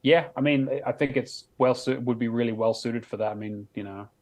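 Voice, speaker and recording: This is a man with an average-pitched voice, co-hosting a casual podcast. He talks in a loose, conversational way and swears freely. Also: brisk, a little mellow. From Sweden. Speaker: podcast co-host. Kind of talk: casual chat about video games.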